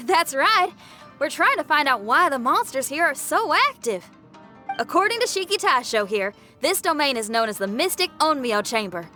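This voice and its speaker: A woman's voice: high-pitched, sing-song, moderate pace, sharp tone, soft background music, heavily acted. A woman playing a spirited young rock musician in a video game, friendly, upbeat and plain-spoken in a folksy way. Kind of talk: game cutscene acting.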